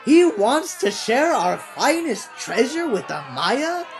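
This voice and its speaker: A man voicing the outraged, haughty gods of paradise in a storybook, loud, indignant and declaiming. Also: very high voice, big pitch swings, slow, music in the background, voice pushed up, full character voice. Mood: indignant uproar. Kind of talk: storybook character voice.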